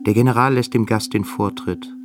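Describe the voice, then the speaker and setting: A man narrating a literary radio drama in a sober, measured manner. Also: lowish voice, steady tone, over music, close to an everyday voice.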